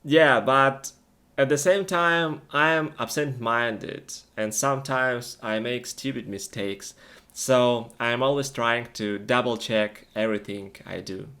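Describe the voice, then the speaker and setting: A man's voice: mid-range voice, slow pace, sharp tone. A man co-hosting an English lesson, chatting through questions and answers in short, simple, plainly worded sentences. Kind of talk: conversational question-and-answer for learners.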